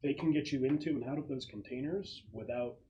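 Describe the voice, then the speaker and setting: A man's voice: mid-range voice, brisk, mellow. Man running a tabletop game, slightly muffled. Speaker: game master. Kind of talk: casual tabletop game narration, explaining plans to players. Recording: muffled, slightly noisy group recording.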